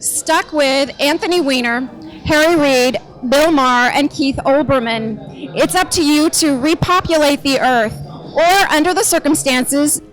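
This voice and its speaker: A woman's voice: sits high, unhurried, low-quality recording. A woman hosting and doing interviews in a chatty, playful way. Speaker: host and interviewer.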